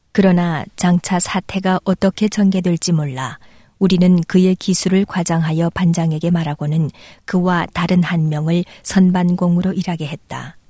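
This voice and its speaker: Low, mellow-voiced woman reading calmly. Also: no echo, clean studio sound. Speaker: narrator. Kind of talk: reading a memoir aloud.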